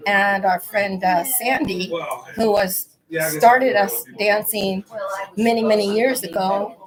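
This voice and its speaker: A woman guest with a slightly hoarse voice chats casually, rambling and pausing on fillers as she goes. A crowd murmurs clearly behind her. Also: mid-range voice, fairly even intonation, low-quality recording. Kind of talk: casual chat.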